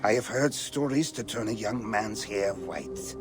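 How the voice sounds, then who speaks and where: An actor playing a humble, fearful widower in mourning in a video game, speaking hesitantly. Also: male voice, on the low side, unhurried. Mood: fearful grief. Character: fearful, grieving old widower, humbly grateful. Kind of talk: dramatic video game acting. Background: music.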